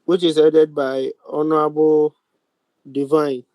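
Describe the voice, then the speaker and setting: A young man with a Ghanaian accent and a slightly high, mellow voice presides over a formal meeting on a video call. He speaks deliberately, stopping often between short phrases. The sound is dry and close. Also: level tone, dry recording. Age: young adult. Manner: deliberate, halting, with many pauses. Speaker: speaker of a youth parliament. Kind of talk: formal chairing of a virtual meeting.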